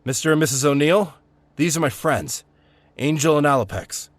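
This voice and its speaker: An actor playing a tough young street vigilante, blunt, slangy and fed up, in a comic-book audio drama. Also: male voice, fairly high pitch, normal speed, dry recording, natural voice. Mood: subdued.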